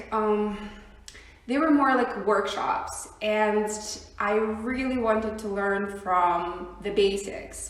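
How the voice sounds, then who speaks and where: Woman, young, Russian accent, hesitant, many ums, echoey room. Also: mid-range voice. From Siberia, Russia. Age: young adult. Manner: hesitant, many ums and likes, laughs often. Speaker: guest. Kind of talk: casual interview chat.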